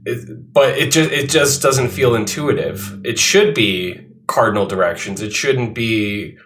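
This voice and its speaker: A man with a stuffy, nasal voice from a cold, talking through games with his co-hosts. He speaks in a casual, halting way, backing up and restarting his thoughts. His voice sits in a middling register. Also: medium pitch, a bit bright, a little hiss or hum. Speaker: podcast co-host. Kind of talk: casual gaming podcast chat.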